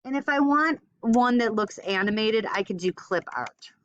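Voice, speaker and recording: A woman's voice: on the high side, lively intonation, moderate pace, a little hiss or hum. Woman giving a casual tutorial, dull lo-fi sound. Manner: informal, thinks aloud while demonstrating. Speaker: teacher giving a software tutorial. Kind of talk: casual screen-recorded step-by-step tutorial.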